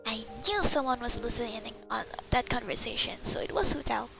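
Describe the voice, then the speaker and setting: A woman's voice: a little high, animated, fairly quick, vocal fry. A woman giving casual, informal playthrough commentary, reacting in short exclamations. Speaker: gamer reacting to a game. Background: game music.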